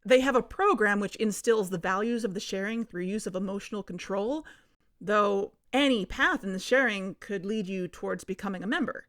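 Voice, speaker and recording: A woman's voice: mid-range voice, normal speed, fairly bright tone, no room sound, studio quality, close to an everyday voice. A woman playing an earnest, plain-spoken investigator in a naturalistic audio drama. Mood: earnest, concerned.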